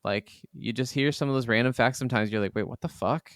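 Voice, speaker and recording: A man's voice: mid-range voice, quick pace, smooth, no room sound, clean studio sound. A man co-hosting a podcast, chatting casually about video games and often repeating short words of agreement. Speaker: podcast co-host.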